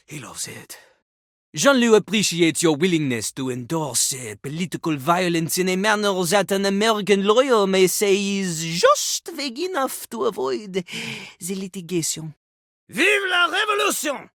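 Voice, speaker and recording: A boastful French male poet-rapper from a video game. The French accent is thick with haughty drama, and the pitch leaps about expressively. The sound is dry and close, with every pompous flourish delivered theatrically. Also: a bit bright, no echo, full character voice. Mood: gleeful approval. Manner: haughty, dramatic, speaks of himself in third person.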